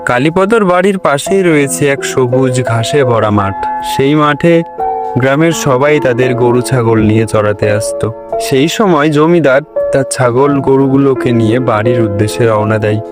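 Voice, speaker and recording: Male cartoon storyteller over loud music. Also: mid-range voice, normal speed. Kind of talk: scripted cartoon story narration.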